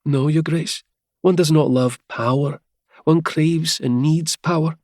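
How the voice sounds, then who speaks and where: A man voicing a bold young woman who answers a tyrant with quiet, firm calm. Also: a little high, a little slow, dry recording, studio quality, voice pushed up, natural voice. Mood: calm defiance.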